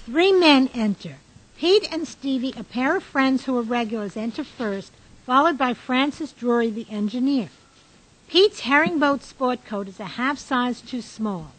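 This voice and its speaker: A woman's voice: sits high, expressive, unhurried, rough recording. A woman reading scripted stage directions as the matter-of-fact narrator of a radio drama. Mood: descriptive.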